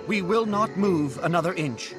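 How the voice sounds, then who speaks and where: A proud fantasy-game inventor. The voice sits on the high side, with loud music underneath. The delivery is wordy and declamatory, full of self-assurance. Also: male voice, lively intonation, normal speed. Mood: proud, resolute. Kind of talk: scripted game cutscene acting.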